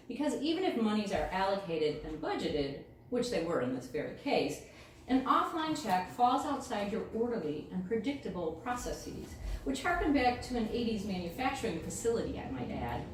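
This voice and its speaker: A middle-aged American woman giving a live poetry reading with casual introductions, phrasing expressively and deliberately. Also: mid-range voice, a little mellow. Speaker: featured poet reading at a poetry event. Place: indoor live event space, mic far from speaker. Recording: distant, echoey room recording with clear noise.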